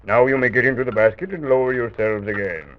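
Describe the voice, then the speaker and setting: Guy acting as kindly formal knight guide, old kids radio show, medium pitch, hissy muffled recording. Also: close to an everyday voice. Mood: calm, courteous. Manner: measured, formal, explanatory. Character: kindly male enchanted armored knight guide, patient and stately. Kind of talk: old-time children's radio serial acting. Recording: noisy, dull vintage radio recording.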